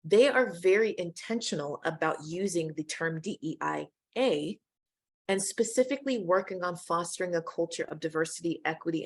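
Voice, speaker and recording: Middle-aged American woman, lowish voice, measured webinar presenter. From United States. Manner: measured, inclusive, addresses audience directly.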